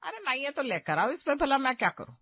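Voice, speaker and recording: A woman's voice: sits high, sing-song, fast talker, dry recording. Woman doing snooty cartoon mother in law, scolding n gossipy, middle-aged, dead dry lo-fi sound. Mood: demanding, sarcastic. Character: haughty, demanding middle-aged mother-in-law, sharp-tongued. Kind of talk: animated moral-story cartoon acting.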